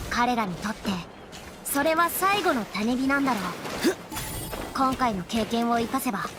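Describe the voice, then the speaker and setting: A woman voicing an upbeat, encouraging little companion in a video game, brisk in delivery. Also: high voice. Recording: loud music under the voice.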